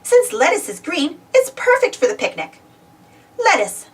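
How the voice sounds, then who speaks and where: A high-voiced woman narrates a children's storybook. Her reading swings widely in pitch and is expressive and simple for small kids. She sounds set back from the microphone. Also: moderate pace, distant mic. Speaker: storybook reader. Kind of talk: scripted read-aloud children's storybook narration.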